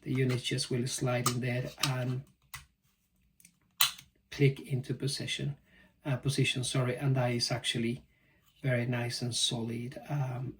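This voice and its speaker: Man, casual product unboxing, flat intonation, hesitant pacing, recorded away from the mic, faint noise. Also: medium pitch, distant mic. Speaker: product reviewer.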